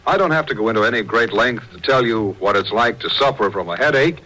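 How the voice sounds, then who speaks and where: A man announcing on 1940s radio, delivering a sponsor pitch with emphatic, folksy salesmanship. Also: expressive, fast, pushed and bright, low-quality recording. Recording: old 1940s radio broadcast recording.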